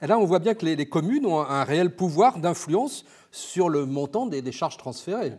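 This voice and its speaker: A man hosting an informational interview, phrasing his questions haltingly and repeating words as he goes. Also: a little high, expressive, darkish tone, breathy edge. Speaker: interviewer and host of an information segment. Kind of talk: interview on local government finance.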